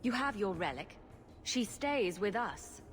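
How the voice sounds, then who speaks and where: A sarcastic rogue hero in a game cutscene, dry and unbothered. Her voice carries a trailing reverb, with music playing softly under her. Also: mid-range voice, very animated, a little slow. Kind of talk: video game cutscene acting.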